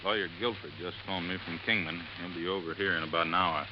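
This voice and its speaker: A man's voice: low-pitched, fairly even intonation, quick pace, natural voice. Male actor doing loyal folksy helper, 1950s radio drama, muffled, noisy background. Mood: folksy, low-key. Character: plain-spoken, loyal boat-landing man, detective's helper.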